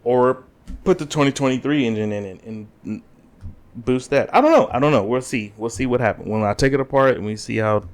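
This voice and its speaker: A man co-hosting a podcast, chatting casually with friends and teasing them deadpan. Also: medium pitch, fairly quick. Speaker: podcast co-host. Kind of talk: casual chat.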